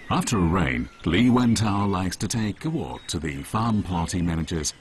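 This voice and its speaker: A man reading scripted documentary narration in a measured, even way. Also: sits low, a little hiss or hum. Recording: voice-over with music bed.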